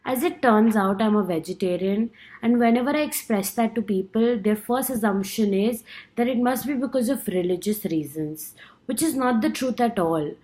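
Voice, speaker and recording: A woman's voice: on the high side, normal speed, darkish tone. A young woman from India with an Indian accent, earnestly reading her class speech with small stumbles. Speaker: student.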